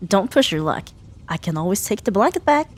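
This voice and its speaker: A sharp-tongued tsundere young woman, played by a female voice actor in an ASMR roleplay. Her mid-pitched voice swings animatedly through huffy, sarcastic jabs. Under the irritation she is grudgingly caring. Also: very animated, slightly rough. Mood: grudgingly soft, dismissive. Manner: sarcastic, huffy, theatrical sighs and drawn-out jabs. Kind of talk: ASMR roleplay audio drama addressed to the listener.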